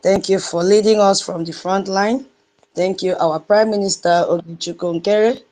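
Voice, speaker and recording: A woman's voice: mid-range voice, steady tone, moderate pace, a little mellow. Nigerian woman hosting an audio space, greets everyone one by one, sing-songy on the dialect bits. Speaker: host of an online audio space. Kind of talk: opening greetings and welcomes.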